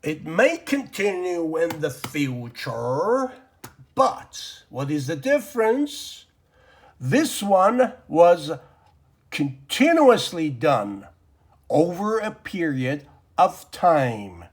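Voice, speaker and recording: A man's voice: fairly high pitch, very animated, extremely slow. A man whose first language is Persian, teaching an informal English grammar lesson from his desk, rambling with many fillers and muttering to himself as he draws. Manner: rambling, lots of fillers and self-talk while drawing.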